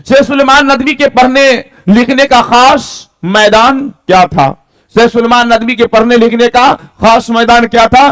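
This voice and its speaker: A man's voice: very high-pitched, a bit bright. A male teacher. He delivers an exam-prep lesson didactically, reading each question and answer aloud. He repeats key points and often checks that learners understand.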